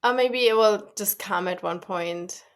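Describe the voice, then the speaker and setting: Woman podcast host, talks slow, hesitant questions w lots of ums. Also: mid-range voice. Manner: hesitant, halting questions with fillers. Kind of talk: casual interview questions.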